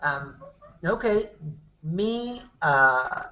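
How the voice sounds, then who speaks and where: A man playing a tabletop roleplaying game, improvising in character and haltingly repeating his words. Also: on the high side, animated, a crowd in the background. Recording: dull lo-fi online call audio.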